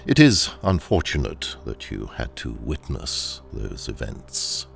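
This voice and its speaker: An actor playing a ruthless corporate trainer in an audio drama, speaking with measured, polite and condescending menace. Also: male voice, rumbling low, huge pitch swings, creaky, husky, soft background music, exaggerated voice. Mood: smooth, feigned politeness.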